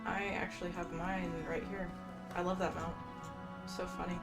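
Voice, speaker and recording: A woman's voice: on the low side, flat delivery, normal speed. A woman giving casual gameplay commentary as a streamer, chatty and thinking aloud. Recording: loud game music behind voice. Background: video game music.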